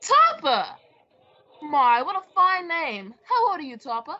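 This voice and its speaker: Jolly department-store Christmas character, extremely high voice, wildly animated sing-song gushing for kids, radio play. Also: female voice, a little slow, dull audio. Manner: exclaiming, sing-song, repeats children's answers. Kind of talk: old-time radio drama acting.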